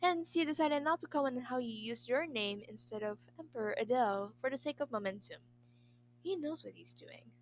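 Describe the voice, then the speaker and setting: A woman's voice: on the high side, lively intonation, a little fast, a little hiss or hum. A woman narrating a scripted story as an amateur reader. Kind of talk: scripted narration of a fan fiction.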